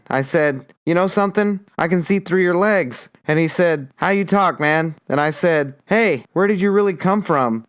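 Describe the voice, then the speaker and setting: A man's voice: on the high side, clear, resonant tone, close to an everyday voice. A man doing a folksy rambling soldier. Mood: wistful. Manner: rambling, drawling, deferential. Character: folksy young male rebel soldier spinning tall tales.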